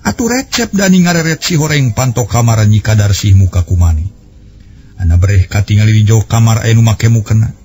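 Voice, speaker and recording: A man's voice: lowish voice, animated, fairly quick, warm tone. A man with a West Javanese accent telling a story in dramatic radio style, recorded on old cassette. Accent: Sundanese-language West Javanese.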